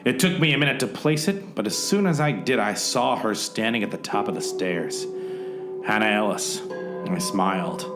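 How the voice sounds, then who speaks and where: Man playing swaggering, animated noir hero-narrator. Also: fairly low pitch, moderate pace. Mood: dramatic narration. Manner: melodramatic, hard-boiled. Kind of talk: parody radio-play narration. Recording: echoey room sound. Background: music.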